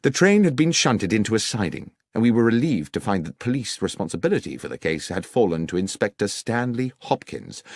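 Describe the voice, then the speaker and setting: A man's voice: lowish voice, no room sound, clean studio sound. A man reading a scripted detective audiobook as its narrator.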